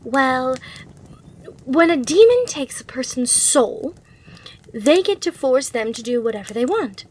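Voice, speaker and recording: Woman playing a high-voiced, polite demon receptionist. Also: sing-song, measured pace, a little mellow, heavily acted, poor audio. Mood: reassuring, coaxing. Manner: courteous, reassuring customer-service patter. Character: polite, sly female demon hotel receptionist. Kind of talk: ASMR roleplay.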